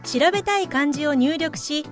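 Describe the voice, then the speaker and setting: A woman's voice: high voice, moderate pace. A woman narrating in the scripted style of a mock crime report. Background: prominent background music.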